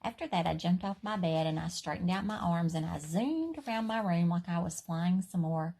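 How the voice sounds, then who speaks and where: Expressive husky woman reading a children's story. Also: on the low side, normal speed. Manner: expressive, emphatic, playful. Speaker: read-aloud storyteller. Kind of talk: animated children's story read-aloud in a child narrator's voice.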